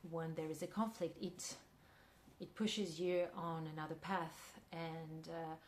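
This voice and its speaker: A woman with a Russian accent, a filmmaker and actress, answering an interview question thoughtfully and pausing often to find her words. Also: deep voice, little pitch movement, a little hiss or hum. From Kyrgyzstan. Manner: thoughtful, searching for words with many pauses.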